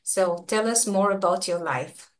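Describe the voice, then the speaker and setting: A woman hosting an online parenting summit interview on a video call, often pausing with "uh" and "like". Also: mid-range voice, a little hiss or hum. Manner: hesitant, many "uh" and "like". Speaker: host, parenting coach.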